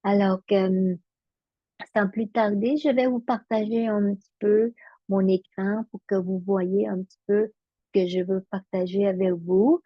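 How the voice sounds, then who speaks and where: A woman's voice: medium pitch, fairly even intonation, measured pace, no room sound, dull audio. A middle-aged woman, originally from Vietnam, recording a casual numerology webinar as a coach, speaking hesitantly with frequent fillers and restarts.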